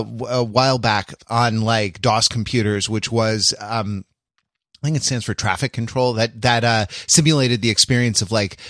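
A man hosting a podcast in casual chat, rambling and haltingly repeating his phrases as he goes. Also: medium pitch, a bit bright, no echo, studio quality. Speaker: podcast host.

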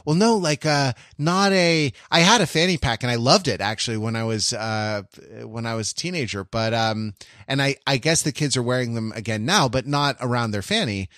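A man in casual podcast conversation, co-hosting with a halting, digressive manner and frequent self-correction. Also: medium pitch, a bit bright, no room sound, studio quality. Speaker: podcast co-host.

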